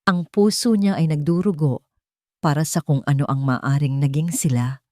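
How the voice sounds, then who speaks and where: A woman's voice: deep voice, normal speed, dark tone, no room sound, clean studio sound. A woman reading a scripted, dramatic love story as a narrator.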